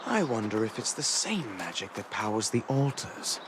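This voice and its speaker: An actor playing an earnest, determined royalist scholar in a video game, speaking with solemn resolve. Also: male voice, fairly low pitch, big pitch swings, moderate pace, very noisy. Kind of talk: video game character monologue.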